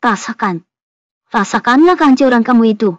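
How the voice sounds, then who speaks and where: A woman's voice: on the high side, animated, moderate pace, dull audio, very noisy, natural voice. A woman playing a guilty, emotional young woman in a horror cartoon, with melodramatic delivery. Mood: bitter, vindictive. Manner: emotional, dramatic. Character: guilty young woman, spiteful yet easily terrified. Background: background music.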